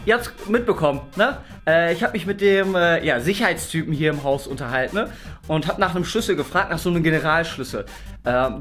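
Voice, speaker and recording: A man's voice: fairly high pitch, fairly bright tone. A young man chatting casually as a vlog co-host, colloquial and fond of question tags. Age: young adult. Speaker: video channel co-host. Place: furnished studio rooms, indoors. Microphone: camera at arm's length or a little further.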